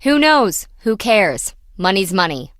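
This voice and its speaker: A woman's voice: medium pitch, sing-song, slow pace, fairly bright tone, no echo, studio quality. An actress playing a greedy, sarcastic treasure-hunting businesswoman in a video game, blunt and dismissive. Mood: scornful. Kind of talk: video game character dialogue.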